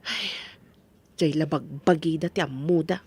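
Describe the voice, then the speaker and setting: A woman playing a practical, complaining farmer's wife in a comic radio drama, with an Ilocano accent and a husky voice. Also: deep voice, steady tone, a little slow, darkish tone, no echo. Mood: fretful. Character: fretful, grumbling farmer's wife, practical and indignant.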